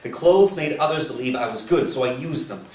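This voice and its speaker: Distant, roomy actor as disillusioned fake priest. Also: male voice, medium pitch, lively intonation, a little fast, darkish tone, close to an everyday voice. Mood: defiant confession. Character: disillusioned male con artist posing as priest, confessing. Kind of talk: staged play reading. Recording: roomy, distant mic, faint noise.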